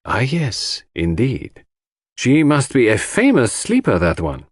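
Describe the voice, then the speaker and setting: A man voicing a shrewd, courteous, theatrical detective in an audiobook, speaking formally and deliberately. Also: medium pitch, huge pitch swings, husky, no room sound, clean studio sound, heavily acted. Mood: wry, suspicious. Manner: formal, grave, deliberate, questioning. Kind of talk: audiobook character dialogue.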